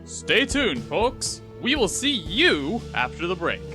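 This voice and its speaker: A man's voice: high-pitched, very animated, takes it slowly, exaggerated voice. A man voicing a theatrical game show announcer with a showy delivery. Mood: showy, upbeat. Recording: music and crowd behind.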